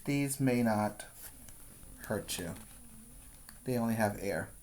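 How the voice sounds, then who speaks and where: A man teaching preschoolers, reading a picture book aloud with deliberate, instructional phrasing and short explanatory asides. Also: fairly low pitch, a little mellow, a little hiss or hum. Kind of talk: read-aloud for young children with explanatory asides.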